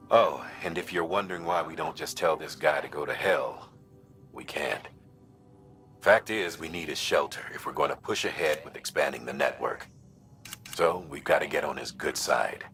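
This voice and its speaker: An actor playing a seasoned, supportive mission commander in a video game, briefing in a plain-spoken, folksy way. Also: male voice, a bass voice, fairly bright tone, heavily acted.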